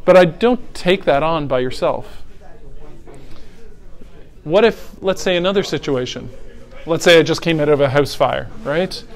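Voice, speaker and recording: A man's voice: a little high, unhurried, long pauses. A middle-aged man, a paramedic educator, giving a conversational lecture that walks through scenarios and asks the audience rhetorical questions. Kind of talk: teaching lecture on airway decisions.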